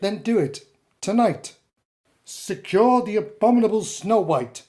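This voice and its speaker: A woman playing a stern, condescending authority figure in a radio drama, measured, formal and dismissive. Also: mid-range voice, lively intonation, warm tone, lightly acted. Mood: cold, commanding. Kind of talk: audio drama acting.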